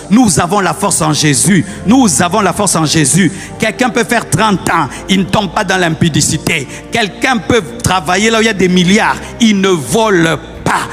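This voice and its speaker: A man's voice: sits high, a bit bright. A man with a Congolese French accent preaching a fiery sermon, exhorting his listeners with insistent repetition and rhetorical questions. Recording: long hall reverb, music underneath.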